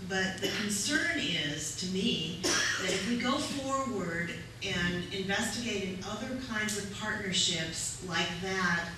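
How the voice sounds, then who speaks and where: A woman speaking as a city council candidate at a public forum, making an earnest, measured argument. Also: medium pitch. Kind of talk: forum answer to a question. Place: community center meeting room. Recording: echoey room from far off, faint noise.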